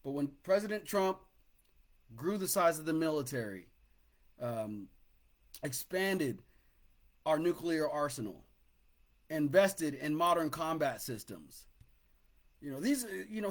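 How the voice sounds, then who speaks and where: A middle-aged American man giving a personal political talk as a commentator, speaking emphatically and haltingly, often repeating words. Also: fairly high pitch.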